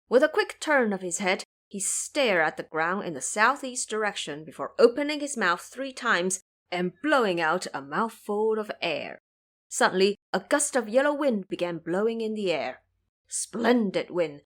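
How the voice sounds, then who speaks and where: A woman narrator tells a scripted adventure tale. Her delivery is dramatic, with lively, widely moving pitch around a medium register.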